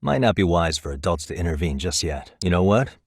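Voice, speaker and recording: An actor playing a calm, wry private detective in his thirties in a video game, speaking in a measured, conversational way. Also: low voice, breathy edge, dry recording, studio quality, exaggerated voice. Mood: businesslike. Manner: measured, conversational, lightly sardonic. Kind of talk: naturalistic English video game voice acting.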